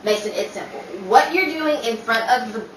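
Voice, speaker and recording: A woman's voice: a little high, expressive, moderate pace. A woman reading lines as a cast member rehearsing a radio play. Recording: echoey, distant mic, faint noise.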